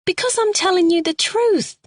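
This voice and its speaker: A woman voicing a distraught, battered woman who pleads through tears in a high voice. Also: moderate pace, no room sound, clean studio sound. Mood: tearful.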